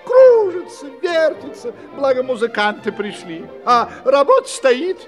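Very high-pitched, fussy courtier. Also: male voice, huge pitch swings, unhurried, faint music behind, heavily acted. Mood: flustered, lamenting. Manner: fussy, lamenting, exclamatory. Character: fussy, flustered, self-pitying male court master of ceremonies. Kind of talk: comic theatrical fairy-tale audio play acting.

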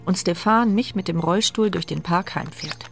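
A woman's voice: fairly low pitch, normal speed, vocal fry, background noise. An actress playing a woman in a wheelchair who narrates her own fear in a tense, sardonic inner monologue, in a radio drama. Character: paralysed woman in a wheelchair, frightened and wry.

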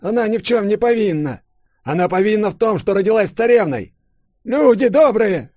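A man's voice: high-pitched, animated, moderate pace, a little mellow, dry recording, studio quality, voice pushed up. A man voicing an old male servant who pleads for a girl and defies a soldier with his life. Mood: pleading. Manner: pleading, impassioned.

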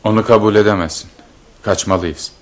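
An actor as a deep-voiced, devoted lover. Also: male voice, steady tone, a little fast, lightly acted. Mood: anxious. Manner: tender, dramatic. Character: devoted, anxious male lover of a married countess. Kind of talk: radio theatre acting.

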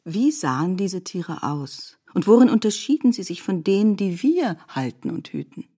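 An actress playing a learned, questioning co-narrator in a literary radio play, deliberate and explanatory. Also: female voice, mid-range voice, very animated, mellow.

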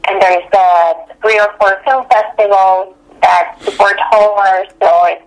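A woman from Canada, a film director, speaking earnestly in a podcast interview with frequent pauses. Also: medium pitch, steady tone, rough recording. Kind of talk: podcast interview over a call.

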